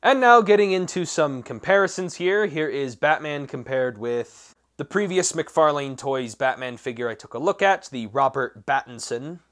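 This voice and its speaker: A man's voice: mid-range voice, expressive, moderate pace, few pauses, fairly bright tone. A man giving a casual, conversational action figure review as the channel host, with emphatic swings between points. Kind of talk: casual product review commentary.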